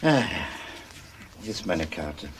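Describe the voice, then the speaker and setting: An actor playing a methodical, matter-of-fact police inspector in a German crime radio drama. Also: male voice, sits low, sing-song, slight background noise, full character voice. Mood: calm, businesslike. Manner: measured, matter-of-fact questioning.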